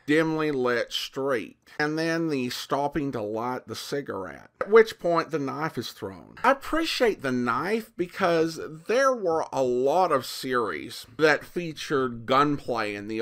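A man hosting an informal commentary on vintage television, explaining in a measured, appreciative way. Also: medium pitch, a bit bright. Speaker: host.

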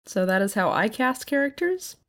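Woman explaining things in a measured way. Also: a little high, very animated, vocal fry. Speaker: audio fiction producer and contributor. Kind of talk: informal spoken advice.

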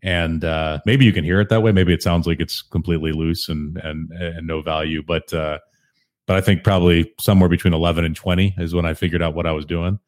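Casual podcast guest: deep-voiced man, calm and laid-back, self-deprecating. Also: fairly quick. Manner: relaxed, self-deprecating, chuckling often.

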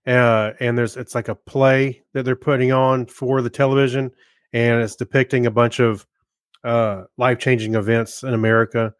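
A man co-hosting a podcast, giving casual reviews in a halting way as he searches for his words. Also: medium pitch, flat delivery, clear, resonant tone, no echo, clean studio sound. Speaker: podcast co-host. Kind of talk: casual film review chat.

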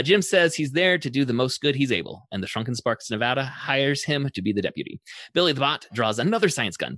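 Guy podcast host doing a chatty recap, bright forward voice, lively and into it, dry sound. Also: lowish voice, no echo. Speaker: podcast host summarizing episodes. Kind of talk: conversational episode recap with commentary.

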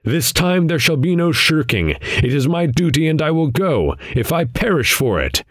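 A man's voice: lowish voice, very animated, measured pace, no room sound, clean studio sound, pitched above the performer's own voice. A man voicing a proud, stubborn hero in an audiobook, earnest and declamatory, with archaic wording. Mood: pleading, resolute. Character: proud, impulsive male narrator-hero, stubborn and defiant. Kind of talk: audiobook character dialogue.

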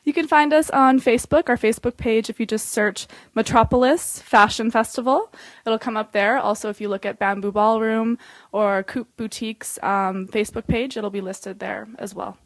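A woman's voice: on the high side, fairly even intonation, moderate pace, no echo. A woman speaking casually as an interview guest promoting an event.

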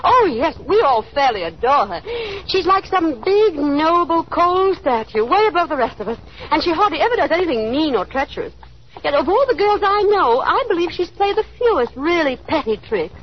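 A woman's voice: high-pitched, big pitch swings, normal speed. An actress playing a chatty, anxious young small-town woman putting on airs in a 1930s radio drama, with a gushing manner and nervous little laughs. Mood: gushing, affected. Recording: dull, hissy 1930s radio recording.

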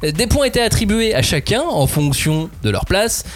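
A young man hosting a panel podcast, lively and jokey with theatrical emphasis. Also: sits high, normal speed, a little hiss or hum. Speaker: host.

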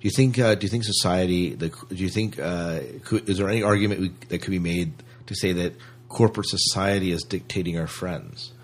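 Low husky guy on a podcast, just chatting, kinda thinking out loud and asking stuff. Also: brisk, hardly any pauses. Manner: questioning, thinks aloud. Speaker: podcast co-host. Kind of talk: casual chat discussion.

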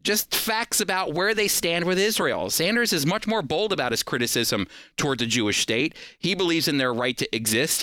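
A man's voice: on the high side, sharp tone, no room sound. An American man hosting a radio program, delivering prepared commentary in a talk show style.

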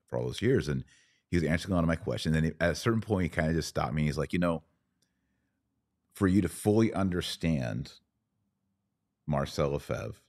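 A man in a casual podcast conversation, hosting and talking in a reflective, anecdotal way. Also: a bass voice. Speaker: podcast host.

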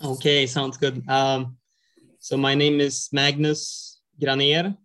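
A Swedish-accented man, Swedish by birth, answering interview questions in a very flat, even voice. His speech stays level and unvaried throughout. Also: mid-range voice, slow pace. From northern Sweden. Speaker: skier, podcast guest. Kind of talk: casual interview.

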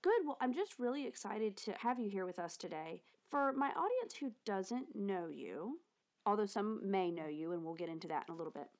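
A woman's voice: mid-range voice, very animated, moderate pace, darkish tone. A woman hosting a podcast interview, speaking in an upbeat, encouraging way. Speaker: podcast host. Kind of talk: warm podcast interview and introduction.

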